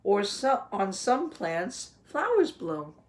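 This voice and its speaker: A woman in her thirties reads a picture book aloud as a teacher for young children. She speaks gently and pauses to ask questions and explain words in simple terms. She is close to a webcam in a small home office. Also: mid-range voice, very animated.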